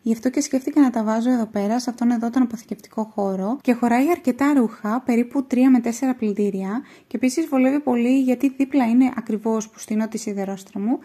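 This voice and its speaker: A woman's voice: on the high side, rapid-fire, hardly any pauses, warm tone, husky. A young woman from Greece giving a chatty, homely voice-over for a cleaning vlog. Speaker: home vlogger.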